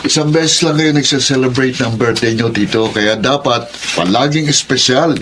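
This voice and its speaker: An actor playing a polite, agreeable young boyfriend in a Filipino radio drama, speaking respectfully. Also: male voice, mid-range voice, moderate pace, close to an everyday voice. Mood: courteous. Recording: noisy drama recording.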